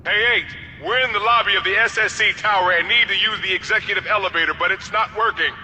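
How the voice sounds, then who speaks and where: An actor playing a blunt, principled male mercenary in a video game, plain-spoken and steady. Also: high-pitched, fast talker, slight background noise. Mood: determined. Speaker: mercenary soldier. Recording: game cutscene with loud music.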